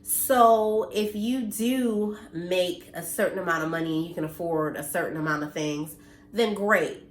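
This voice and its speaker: A woman's voice: on the low side, a little slow, reverberant. Congested, blunt woman in her thirties. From United States. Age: 30s. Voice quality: slightly nasal, congested. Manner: earnest and blunt, mildly preachy, occasional cursing. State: congested from allergies. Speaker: vlogger. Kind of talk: casual direct-to-camera talk. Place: her home, indoors.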